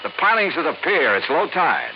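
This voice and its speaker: Hard-boiled American radio detective, swinging pitch. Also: male voice, wildly swooping pitch, moderate pace, slight background noise. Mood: accusing. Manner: clipped, hard-boiled, dry. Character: tough, shrewd male freelance insurance investigator. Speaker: lead actor and narrator. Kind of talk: 1950s radio detective drama acting. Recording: muffled old radio recording with music.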